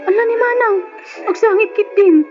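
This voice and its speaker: A woman's voice: very high-pitched, normal speed. A woman playing a cheeky, sentimental younger sister in an Ilocano radio drama, with teasing, exclamatory delivery. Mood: excited, tearful. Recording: dull lo-fi radio recording with music.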